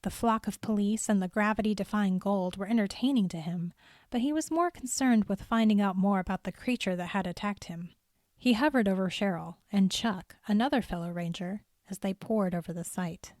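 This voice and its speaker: A woman's voice: medium pitch, normal speed, darkish tone, no echo. Woman narrating a story, dry sound. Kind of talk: scripted audiobook narration.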